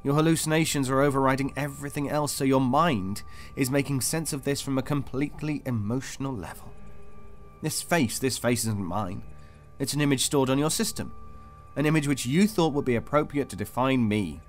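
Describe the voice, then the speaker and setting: An actor playing a calm, clinical and controlling interrogator in an audio drama, speaking in a measured, patronising way. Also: male voice, mid-range voice, faint music behind, lightly acted. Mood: probing.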